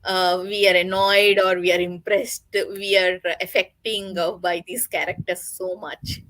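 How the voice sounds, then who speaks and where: A woman giving her views casually in a group book discussion, with halting phrasing. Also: fairly low pitch, level tone, fairly bright tone, no room sound.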